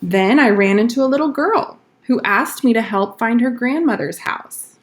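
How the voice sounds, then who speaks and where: A woman's voice: a little high, lively intonation, moderate pace, lightly acted. A woman voicing a schoolboy from a storybook, earnestly telling tall-tale excuses. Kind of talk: storybook read-aloud.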